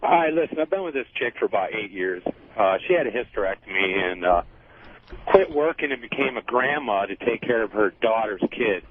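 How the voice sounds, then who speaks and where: A man's voice: fairly low pitch, animated, brisk. A man of about forty calling a radio show, talking casually and profanely as he confides. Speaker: radio call-in caller. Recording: muffled narrow phone line.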